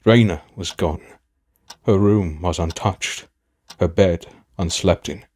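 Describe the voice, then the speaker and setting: A man's voice: low-pitched, a little mellow. A man playing a proud, weary aging king and protective father in a fantasy audio drama, speaking with formal, stately diction.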